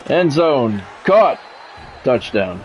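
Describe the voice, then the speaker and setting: A man's voice: medium pitch, big pitch swings, drawn-out words, a faint crowd. A man doing football play-by-play as a game streamer, in short clipped announcer-style calls mixed with casual chat to viewers.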